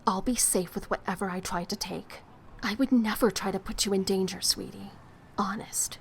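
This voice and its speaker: A woman's voice: mid-range voice, steady tone, normal speed, creaky, slight background noise, close to an everyday voice. A woman playing a streetwise, cynical, protective young woman in an audio drama. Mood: reassuring, defiant. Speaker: voice actor.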